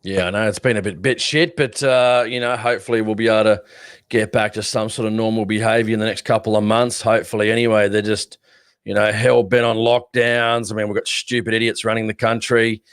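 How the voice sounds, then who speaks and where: Blunt low-voiced Australian man. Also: level tone, brisk, clear, resonant tone, no echo, studio quality. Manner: blunt, sarcastic, swears. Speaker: live stream co-host. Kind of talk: casual opinionated chat.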